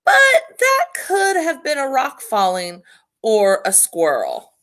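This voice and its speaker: A woman reading a children's storybook aloud in a playful, tongue-in-cheek manner with emphatic pauses. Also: high-pitched, wildly swooping pitch, a bit bright. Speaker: school storybook reader.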